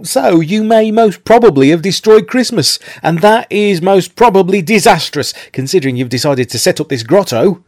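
A man's voice: sits high, expressive, normal speed, few pauses, a little mellow, no echo, studio quality. A man doing a whiny, put-upon sidekick. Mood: complaining. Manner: whiny and complaining.

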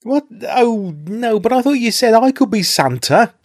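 A man's voice: very high voice, lively intonation, normal speed, no echo, clean studio sound. A man doing a whiny, put-upon sidekick. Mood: complaining. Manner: whiny and complaining.

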